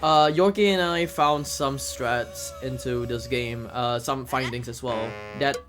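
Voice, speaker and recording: A man doing casual live speedrun commentary, explaining the game in halting, hesitant phrases. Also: mid-range voice. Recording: game music behind the voice. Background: video game music.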